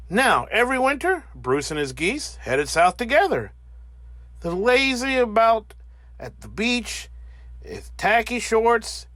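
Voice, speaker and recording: A man reads a children's storybook aloud with hugely swooping intonation. His pace is slow and deliberate, and his voice is husky, recorded dry and close. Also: high voice, a bit bright, no room sound. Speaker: storybook narrator. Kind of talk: animated children's read-aloud.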